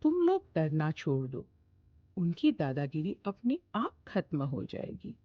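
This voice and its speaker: Wise old holy man giving advice, woman reading him, slow and low, dry lo-fi sound. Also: huge pitch swings, husky, no echo, heavily acted. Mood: advising. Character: wise old male sage, calm and knowing.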